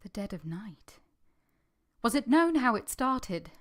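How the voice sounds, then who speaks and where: A woman's voice: fairly high pitch, very animated, slow. A woman playing an earnest, tender former governess, acting and narrating her own story in a radio drama. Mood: anxious. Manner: earnest, gentle, heartfelt.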